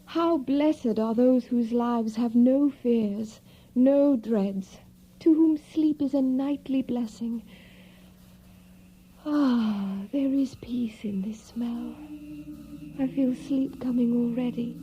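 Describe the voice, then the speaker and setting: A delicate, dreamy young lady in an audio drama. Her voice is high and breathy, and she speaks slowly and gently. The sound is slightly muffled. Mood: dreamy, wistful. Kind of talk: audio drama acting, diary narration.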